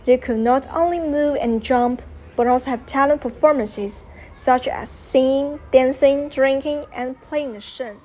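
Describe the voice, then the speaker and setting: A woman's voice: high-pitched, measured pace, mellow. A young woman reading a scripted documentary narration in a careful, read-out manner. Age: young adult. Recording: clear background noise.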